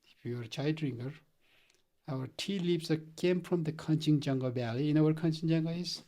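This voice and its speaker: A man's voice: fairly high pitch, moderate pace, mellow. A middle-aged man from Nepal, earnestly telling his story as a guest in a casual interview, chuckling often. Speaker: company founder guest.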